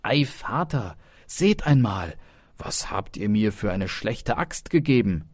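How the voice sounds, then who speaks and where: A man's voice: on the low side, sing-song, slow, a little mellow, no room sound, pitched above the performer's own voice, exaggerated voice. A man voicing a carefree, confident young student in a storybook tale, breezy and placating toward his elders. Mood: innocent complaint.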